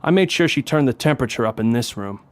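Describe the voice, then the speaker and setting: A man's voice: medium pitch, animated, fast talker, lightly acted. A man playing a tough, sardonic police detective in a noir comedy audio drama, with a threatening edge. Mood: cool menace. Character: tough, wry hard-boiled male police detective. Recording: music behind the voice.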